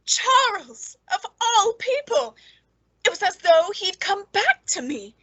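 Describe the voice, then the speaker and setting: A woman's voice: very high voice, sing-song, slow pace, bright, forceful tone, no room sound, exaggerated voice, low-quality recording. An actress playing a lonely, eager, nervously polite woman in an old-time radio suspense drama, hesitant and genteel. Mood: delighted.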